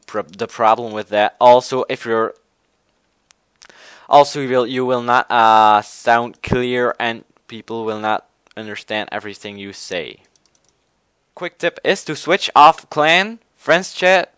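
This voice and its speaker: A young man from Belgium, a native Dutch speaker with a Belgian Dutch accent, giving gaming video tips in a casual, halting way with many restarts. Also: mid-range voice, fairly bright tone, clean tone, dry recording, studio quality. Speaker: gaming channel host. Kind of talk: casual tutorial commentary.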